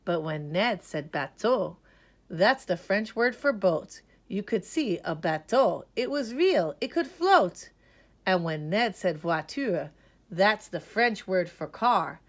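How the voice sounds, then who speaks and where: Female children's storyteller: unhurried, expressive rhythmic reading, mid-pitched, highly animated. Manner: rhythmic, dramatic emphasis on key words. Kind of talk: expressive children's read-aloud.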